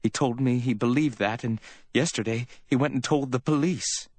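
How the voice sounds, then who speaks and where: A man's voice: medium pitch, moderate pace, darkish tone, no room sound, pitched above the performer's own voice, lightly acted. A man acts out a distraught woman in a hardboiled audiobook story. The voice is lifted well above his own, choked and whimpering. She pleads and wails with jealous indignation, recorded close and dry. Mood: distressed. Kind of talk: dramatic audiobook character reading.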